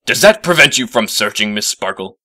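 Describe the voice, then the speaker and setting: Man as a low-voiced, gruff, pompous professor. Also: big pitch swings, brisk, bright, forceful tone, no echo, studio quality, exaggerated voice. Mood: curt, irritated. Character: gruff, pompous, grave older male professor.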